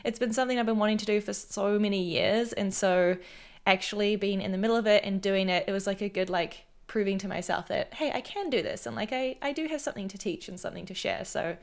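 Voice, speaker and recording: A woman's voice: mid-range voice, fast. Young woman podcast cohost, upbeat and chatty, says like a lot. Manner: chatty, many "like" fillers, upbeat. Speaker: podcast co-host. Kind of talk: casual chat.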